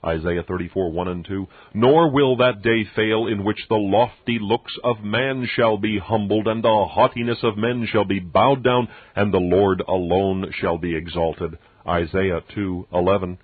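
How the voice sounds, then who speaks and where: A man reads religious text aloud as an audiobook narrator, in a solemn, measured and emphatic preacherly manner. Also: fairly low pitch, husky, no echo. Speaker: audiobook reader. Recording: dull muffled radio-like sound, very dry.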